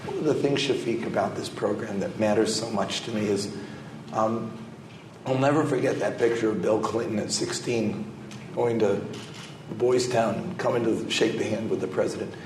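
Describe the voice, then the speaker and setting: A hoarse man with a cold speaks on a panel, his voice raspy and rather low. He is recorded in an echoey hotel conference room with clear background noise. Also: normal speed. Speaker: panelist, scholar.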